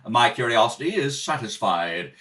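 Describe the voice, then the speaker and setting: A man's voice: mid-range voice, animated, normal speed, fairly bright tone, recorded from a distance, natural voice. Courteous wry butler, off-mic, acted by a man. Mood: indignant. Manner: courteous, hesitant, dryly humorous. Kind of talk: 1930s radio comedy read-through. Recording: home recording, off from the mic.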